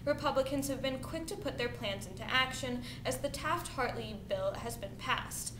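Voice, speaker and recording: A young woman reading scripted news as the anchor of an amateur newscast. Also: moderate pace. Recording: echoey room with rumbling noise.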